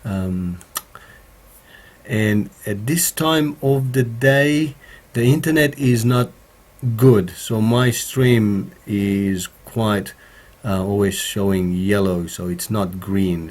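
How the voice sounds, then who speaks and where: Male stream host, slightly croaky, slow and halting, with many fillers, recorded at a home studio mic. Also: fairly low pitch, fairly even intonation, a little mellow. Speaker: home studio streamer answering viewer questions. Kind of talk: casual live stream chat.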